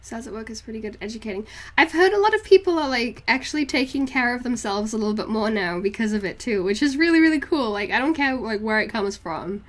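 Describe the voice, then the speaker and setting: Casting: young female streamer, fairly high voice, Geordie-Kiwi hybrid accent, informal and giggly, rambling chat. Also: lively intonation, fast, few pauses, fairly bright tone. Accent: hybrid northeast English and New Zealand. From northeast England, moved to New Zealand as a child. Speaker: art livestreamer. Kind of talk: casual livestream chat with viewers.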